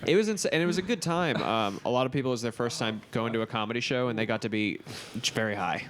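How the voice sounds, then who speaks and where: A man's voice: mid-range voice, fast, a bit bright. Blunt East Coast American man chatting. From East Coast US, born in Boston. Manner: blunt, profane. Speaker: podcast guest, comedy show booker. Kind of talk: casual chat.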